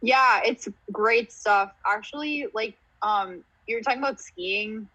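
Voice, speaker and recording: A young woman, a comedian guest on a podcast, talks in a giggly, rambling way, full of "like" and laughter. Her voice sits a bit high and bright, with a husky, breathy edge. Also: unhurried, rough recording. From born in Romania, raised in Canada and the US. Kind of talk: casual podcast chat.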